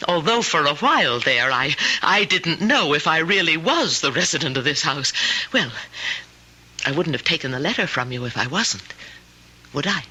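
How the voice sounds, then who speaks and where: An actress playing a frightened, confused lonely woman in an old-time radio drama, halting and pleading as she repeats herself. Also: deep voice, big pitch swings, sharp tone, slight background noise, exaggerated voice, low-quality recording. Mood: anxious, defensive. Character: frightened, confused, fretful lonely woman insisting on her story.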